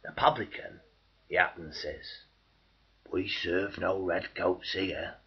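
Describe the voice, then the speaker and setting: A man plays an aggrieved British soldier of Victorian times, reciting verse with bitter sarcasm. The voice is low and very husky, and it swings theatrically between indignant force and mocking hush. The recording is a little dull. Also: fairly even intonation, slow pace, full character voice. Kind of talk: dramatic poetry recitation.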